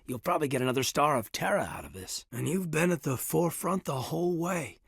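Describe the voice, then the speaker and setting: A man acting in a video game as an earnest, loyal military officer, reserved and thoughtful, with a husky voice and a sincere, measured delivery. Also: a little high, expressive, fairly bright tone, no room sound, studio quality, full character voice. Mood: admiring, hopeful.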